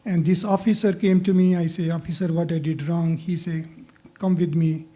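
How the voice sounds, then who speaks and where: A man's voice: on the high side, little pitch movement, normal speed, mellow. A man with a Pakistani accent speaking informally as a candidate at a forum, in plain non-native phrasing. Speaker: city council candidate. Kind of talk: informal forum speech with anecdotes.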